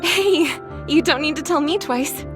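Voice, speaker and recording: An actress playing a gentle, caring young female soldier in a video game, with a soft-hearted, hesitant manner. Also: high-pitched, a little slow. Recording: background music under voice.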